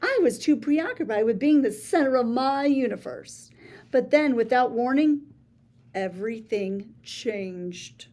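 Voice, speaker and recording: A woman reading a children's picture book aloud in a playful, theatrical way, with big swings between soft and showy lines. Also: a little high, expressive, a little slow. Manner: boastful first-person storybook voice. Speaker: read-aloud storyteller.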